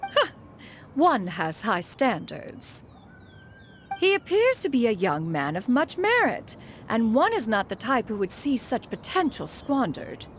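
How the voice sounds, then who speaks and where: A woman's voice: fairly high pitch, wildly swooping pitch, unhurried, slight background noise. A woman voicing a haughty, dignified immortal mentor in an anime game, speaking in formal, archaic phrases. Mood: proud, puzzled. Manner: formal, archaic, calls herself "one". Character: haughty, dignified female immortal bird adeptus, mentor, exacting.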